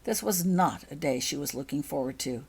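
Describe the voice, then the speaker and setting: A woman's voice: low-pitched, animated, a little fast, creaky, darkish tone, husky. A woman author reading her own story aloud as scripted audiobook narration.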